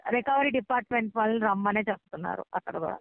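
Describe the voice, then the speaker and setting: A woman working as a loan recovery agent on a phone call, polite and persistent but halting. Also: medium pitch, vocal fry, no echo. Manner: polite, persistent, halting, repeatedly says sir. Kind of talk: debt collection phone call. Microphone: phone line. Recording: thin, band-limited phone call recording.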